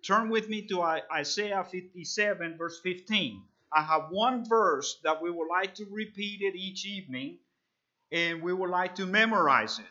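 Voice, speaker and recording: Guy in his 30s preaching, kinda high bright voice, lots of ums, muffled lo-fi recording. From El Salvador. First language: Spanish. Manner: halting, earnest, with frequent fillers. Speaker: visiting preacher. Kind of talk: sermon.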